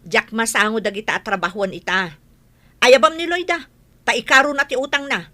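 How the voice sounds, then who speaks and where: Woman acting aggrieved village moneylender, high bright voice, Ilocano accent, emphatic scolding, radio drama. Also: moderate pace. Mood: worried complaint.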